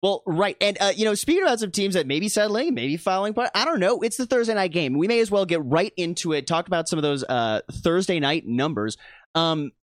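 A man's voice: sits high, lively intonation, fairly quick, dry recording, studio quality. A man hosting a sports betting show, chatting casually with informal, slangy banter. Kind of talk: casual panel chat, introducing co-hosts.

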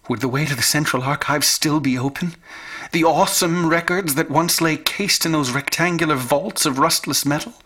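An actor playing a haunted, obsessive older scholar, recounting cosmic horror in a grave, breathless, florid radio drama delivery. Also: male voice, a little high, bright, forceful tone, breathy edge, exaggerated voice. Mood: feverish awe. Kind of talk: radio drama acting and first-person narration. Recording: dramatic music bed under the voice.

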